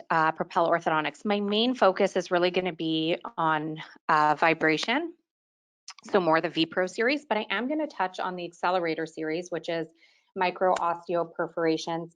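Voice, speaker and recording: Woman orthodontist in her 30s doing a webinar, kinda low voice, clear and organized, keeps starting with "so". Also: moderate pace. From Quebec, Canada. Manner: clear, organized delivery, often opening sentences with "so". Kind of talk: continuing-education webinar lecture.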